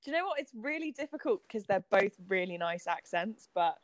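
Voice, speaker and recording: A young woman co-hosting a podcast, bubbly and quick in her banter, laughing often. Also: mid-range voice, sing-song, no echo. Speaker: podcast co-host. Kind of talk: casual podcast chat.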